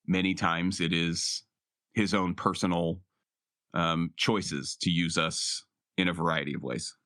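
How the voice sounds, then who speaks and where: A man playing a tabletop role-playing game, explaining his character in a halting, reflective, casual way. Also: deep voice, fairly even intonation, a bit bright. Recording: music playing behind the voice. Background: music.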